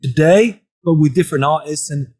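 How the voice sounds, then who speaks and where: A man's voice: fairly high pitch, brisk, a little mellow, slightly rough. A man from Greece, a DJ and label owner, chatting loosely as an interview guest and often saying "you know what I mean". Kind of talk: casual conversational interview.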